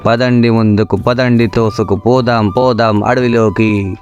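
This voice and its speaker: A man's voice: on the low side, fairly even intonation, measured pace, a little mellow, muffled recording, soft background music, lightly acted. A man playing a lazy, cheeky young village loafer in a comic story, wisecracking in a flippant, mock-dramatic way. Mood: exasperated, clowning. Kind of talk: comic Telugu moral-story voice acting.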